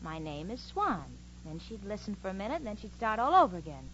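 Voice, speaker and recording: A woman's voice: medium pitch, big pitch swings, brisk, fairly bright tone. An actress playing a sensible, exasperated young woman telling wry stories in a 1940s radio comedy. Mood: wry, bemused. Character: exasperated, sensible young woman narrating her roommate's follies. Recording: dull, noisy old radio recording.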